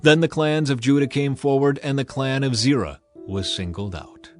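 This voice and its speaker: A man's voice: mid-range voice, normal speed. A man reading scripture as a scripted, dramatized audio Bible narration. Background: background music.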